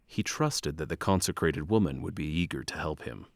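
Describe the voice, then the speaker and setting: A man's voice: extremely low pitch, animated, normal speed, vocal fry, no room sound, clean studio sound. A man narrating a fantasy audio drama from a script.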